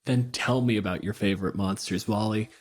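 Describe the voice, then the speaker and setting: A man's voice: lowish voice, fairly even intonation, brisk. A man from Canada co-hosting a podcast, moving between scripted reading and casual chat. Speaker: podcast co-host.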